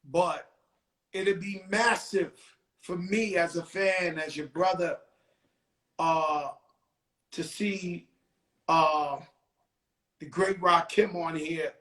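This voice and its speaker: A man's voice: a little high, extremely slow, pauses often, a bit bright, echoey room. A man with a New York accent hosting a live stream, talking casually in street slang with repeated exclamations.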